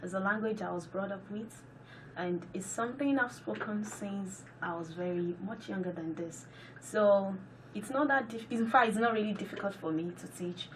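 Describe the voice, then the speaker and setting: A woman's voice: medium pitch, moderate pace, far from the mic, slight background noise. A woman from Nigeria, whose first language is Yoruba, speaks English with a Nigerian accent as a guest professor in a radio interview. She answers agreeably, often echoing the host and saying yeah. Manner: agreeable, echoes the host's words, frequent yeah.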